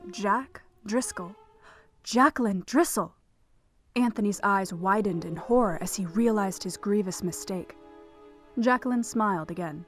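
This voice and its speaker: A woman's voice: medium pitch, measured pace, faint music behind. A woman narrating a scripted story as the narrator of an audio drama.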